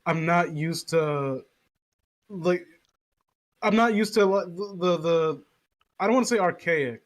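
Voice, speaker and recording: Sweary, sarcastic man with a higher voice. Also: unhurried. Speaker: podcast co-host. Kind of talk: casual chat with friends.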